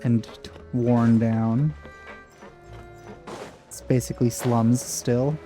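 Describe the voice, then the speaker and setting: Male gameplay commentator: mellow, dark tone, casual and sceptical, faint game music behind. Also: medium pitch, steady tone, slow pace. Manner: dry, sceptical. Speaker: let's play commentator.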